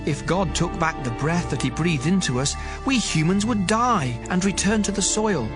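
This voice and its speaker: A man's voice: high voice, lively intonation, normal speed. An actor playing an earnest young man who admonishes his elders in an emphatic, declamatory way, in a dramatized audio Bible. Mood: firm, admonishing. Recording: music behind the voice.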